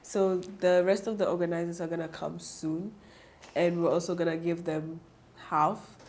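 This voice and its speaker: A woman's voice: fairly low pitch, flat delivery, a little mellow. A teenage woman, answering interview questions earnestly. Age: teens. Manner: earnest, quick to agree. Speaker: pageant titleholder guest.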